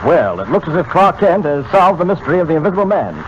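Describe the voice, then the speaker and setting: A man's voice: fairly high pitch, big pitch swings, quick pace, a crowd in the background. A man announcing a radio serial in a 1940s broadcast style. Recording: muffled old radio recording, background din.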